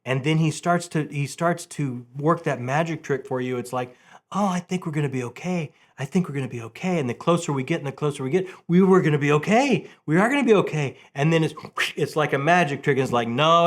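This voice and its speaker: A man hosting a casual, conversational book video, telling things in an animated way and repeating phrases for emphasis. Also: on the high side, a little fast. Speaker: book review video host.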